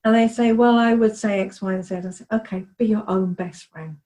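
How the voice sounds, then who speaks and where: A woman's voice: medium pitch, steady tone, a little fast, dark tone. A woman hosting a podcast interview, chatty and encouraging as she introduces and talks with her guest. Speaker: podcast host.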